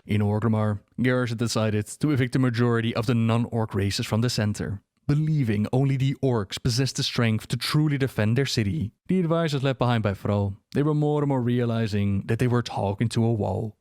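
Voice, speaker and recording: A man narrates game lore as a scripted voice-over with conversational asides. His pitch is average for a man, and the recording is close and dry, with no room sound. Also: brisk, hardly any pauses, darkish tone, dry recording.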